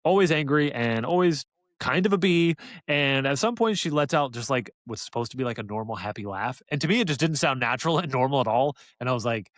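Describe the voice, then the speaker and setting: A man's voice: mid-range voice, expressive, a little fast, dry recording, clean studio sound. A man co-hosting a podcast, giving opinions in an enthusiastic, casual review chat. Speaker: podcast co-host reviewing an audiobook.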